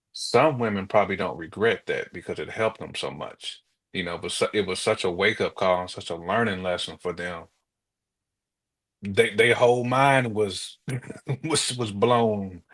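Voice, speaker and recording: Podcast co-host: American man, slightly deep voice, informal street-smart phrasing, animated storyteller who voices little quotes. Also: husky. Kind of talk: casual chat.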